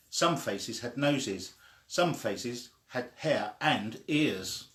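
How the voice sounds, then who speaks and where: A man reading a children's story aloud in a deliberate, simply phrased way. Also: medium pitch. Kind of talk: slow read-aloud storytelling. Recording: echoey room.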